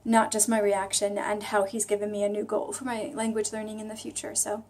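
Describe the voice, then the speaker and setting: A woman's voice: medium pitch, monotone, a little fast, darkish tone, slight background noise. Musing woman vlogger thinking aloud. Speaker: vlog host. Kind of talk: casual reflective chat about reading.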